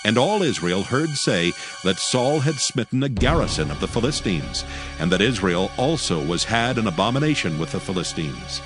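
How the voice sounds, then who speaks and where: A man's voice: lowish voice, big pitch swings, normal speed. A man narrating scripture in a solemn, scripted, dramatic storytelling style. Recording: music under the narration.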